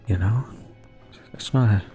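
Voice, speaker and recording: A young man with a lowish voice half-whispers casual commentary to his stream chat as he plays. He keeps up a soft breathy delivery with mouth noises while his throat wears sore. Faint game music plays under his voice. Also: a little slow. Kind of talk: casual gaming commentary, trying ASMR-style whispering.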